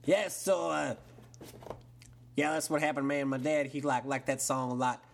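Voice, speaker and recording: A man's voice: medium pitch, fairly even intonation, moderate pace, gravelly, a little hiss or hum, close to an everyday voice. A man voicing a dim-witted Texan country man who phones in to a comedy show, rambling and drawling with plenty of fillers and a put-on Texas accent. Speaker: caller. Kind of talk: improvised call-in sketch.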